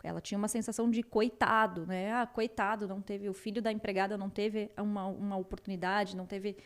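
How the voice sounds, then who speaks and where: A woman's voice: medium pitch, fast. A young woman with a São Paulo accent, co-hosting a casual book discussion and chatting freely. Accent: São Paulo Portuguese. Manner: chatty, acts out quoted people in animated voices. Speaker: book club co-host.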